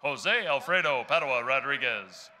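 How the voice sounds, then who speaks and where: A young man with a Texan accent, reading graduates' names one by one from a podium microphone on a large arena stage, in a loud, measured announcing voice. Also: mid-range voice, animated, some chatter behind, slight background noise. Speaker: graduation name reader.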